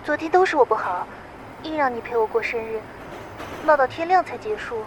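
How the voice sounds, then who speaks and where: A high, tender young woman's voice, acted by a woman sounds over clear background noise. She plays an apologetic, affectionate partner in an audio drama. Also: animated, brisk, lightly acted.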